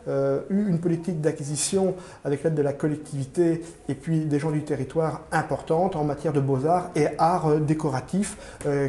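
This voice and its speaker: Man, fairly high mellow voice, formal interview answers, halting measured phrasing, echoing room. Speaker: museum curator being interviewed. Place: indoor room in a museum. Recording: roomy, echoing indoor sound.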